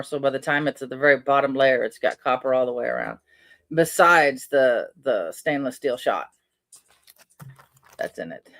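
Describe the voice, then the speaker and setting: A middle-aged man with a soft, slightly raised voice rambles through a casual chat. He trails off and restarts, filling gaps with ums. The recording is dry and close, with no room sound. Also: on the high side, no room sound. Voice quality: soft-spoken. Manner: rambling, chatty, many fillers and restarts. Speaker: vlogger unboxing and crafting. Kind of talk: casual chat while unpacking.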